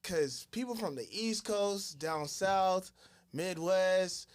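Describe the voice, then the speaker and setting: A man's voice: sits high, slow pace. A man hosting a casual podcast, asking questions and chiming in eagerly and agreeably. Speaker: podcast host.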